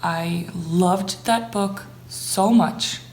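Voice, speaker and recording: A woman's voice: lowish voice, extremely slow, a little mellow. A young adult woman, a native Dutch speaker from the Netherlands, chatting casually and enthusiastically as a book vlogger. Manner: enthusiastic, gushing about things enjoyed. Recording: echoey room, faint background noise.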